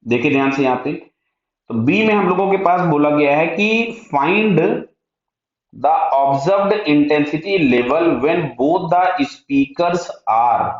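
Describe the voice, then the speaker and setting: A man's voice: on the high side, animated, drawn-out words. A man with an Indian accent teaching physics step by step, asking short check questions and answering them himself. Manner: mixes Hindi with English technical terms. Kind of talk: step-by-step lesson, working through a problem aloud. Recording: very echoey room, dull muffled sound.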